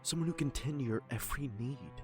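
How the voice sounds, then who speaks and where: A man acting in an audio drama as a guilty, evasive lover, earnest and apologetic, with a husky, halting delivery. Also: medium pitch, over music, close to an everyday voice. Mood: regretful, pleading.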